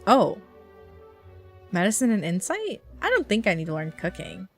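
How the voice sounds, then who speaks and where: A woman streamer chats casually as she plays a game. Her mid-pitched voice swings widely in pitch, expressive and giggly, slipping into mutters to herself. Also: normal speed, faint music behind. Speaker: live streamer. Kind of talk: casual reactions while playing a game.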